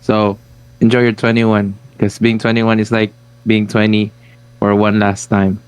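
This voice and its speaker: A young man, co-hosting a sports podcast with deadpan jokes. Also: on the low side, measured pace, smooth, dry recording, low-quality recording. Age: 20s. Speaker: podcast co-host. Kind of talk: casual sports podcast chat.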